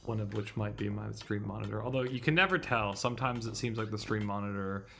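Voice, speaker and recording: A man's voice: on the low side, steady tone, a little fast, vocal fry, pushed and bright, slight background noise. A man doing casual livestream commentary on a visual novel, thinking aloud in a muttering way and swearing casually. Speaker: streamer reading a visual novel.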